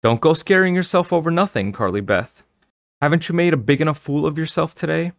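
Guy doing an awkward uneasy storybook schoolgirl, voice pitched up, hesitant, dry sound. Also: sing-song, moderate pace, dry recording. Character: reluctant, uneasy, self-conscious schoolgirl.

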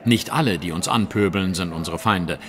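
Low, measured detective. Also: male voice, a bit bright. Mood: cautioning. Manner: measured, formal, precise. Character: shrewd, watchful, composed male detective. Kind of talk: German audio drama dialogue.

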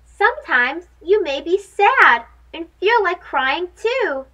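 A woman's voice: extremely high pitch, sing-song, slow, bright, forceful tone. A young woman hosting a children's read-aloud, talking in a sing-song way to little listeners as she reads a picture book.

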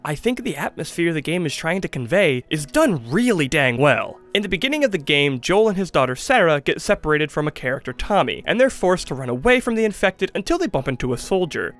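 A man's voice: a little high, a bit bright. A man, giving emphatic, conversational scripted commentary as a video essayist in a studio. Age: 20s. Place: small studio with acoustic foam. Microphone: studio microphone. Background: music.

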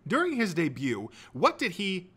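A man's voice: sits high, wildly swooping pitch, moderate pace, pushed and bright, husky, voice pushed up, heavily acted. A man voicing an emphatic student quizmaster in an audiobook, announcing in a projected game-show manner. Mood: showy.

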